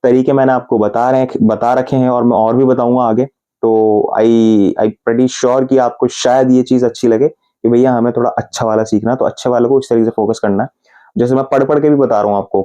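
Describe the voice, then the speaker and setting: A man with an Indian accent gives an English lesson, spelling out example sentences one word at a time. His voice is mellow and warm, sitting at an ordinary middle pitch. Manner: explains patiently, repeating example sentences slowly word by word. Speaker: English teacher. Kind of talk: Hindi-language English grammar lesson.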